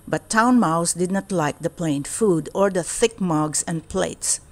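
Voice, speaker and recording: A woman storyteller reading a children's storybook aloud in a deliberate, expressive way. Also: fairly low pitch.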